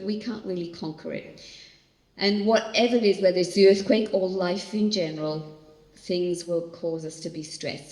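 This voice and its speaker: An older woman pastor from Australia giving an animated, storytelling church talk on coping with stress. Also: medium pitch. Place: large hall. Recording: echoey hall, long reverb.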